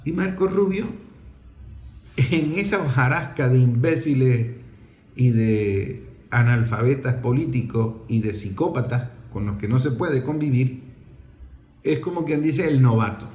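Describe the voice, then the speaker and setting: A man's voice: mid-range voice, measured pace, a little mellow. Scornful male political commentator in echoey room. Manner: emphatic, scornful, rhetorical questions. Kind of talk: opinionated political monologue.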